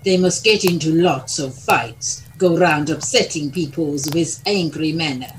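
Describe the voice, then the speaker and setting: A woman playing a blunt, flirtatious, hard-drinking Bulgarian with a Bulgarian accent and deadpan broken English in a comic audio drama. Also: deep voice, a little slow, slight background noise, poor audio. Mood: weary, puzzled.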